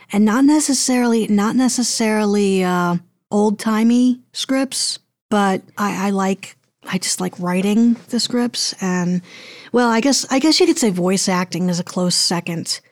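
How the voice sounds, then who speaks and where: A woman's voice: mid-range voice, dry recording, studio quality. A woman speaking casually as an interview guest, thinking aloud in a hesitant, rambling way. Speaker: guest, audio drama producer and voice actor.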